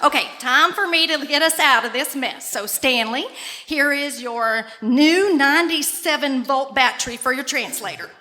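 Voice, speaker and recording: A woman acting as a brisk moderator in a live radio comedy sketch. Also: sits high, pushed and bright. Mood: exasperated, presiding.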